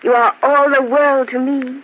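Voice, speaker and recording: An actress playing a devoted, candid young Italian sweetheart, tender and theatrical, in an old radio drama. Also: female voice, sits high, level tone, unhurried. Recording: muffled, hissy old radio drama recording.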